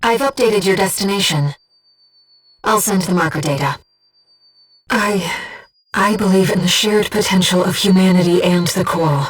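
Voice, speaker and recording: A gentle disembodied female being, played by a woman serves as a devoted mission guide in a video game. Her medium-pitched voice is composed and earnest, with precise phrasing, and sits a little away from the mic. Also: moderate pace, long pauses, breathy edge, recorded from a distance, close to an everyday voice.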